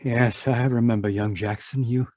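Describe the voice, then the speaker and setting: A man acts out a haunted, domineering chemist from an old radio horror play. He speaks at a middling pitch, brooding and imperious, in a dry, echo-free space. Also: fairly even intonation, moderate pace, darkish tone, close to an everyday voice. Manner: imperious, clipped outbursts and haunted muttering. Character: brooding, guilt-ridden, domineering male chemist obsessed with roses.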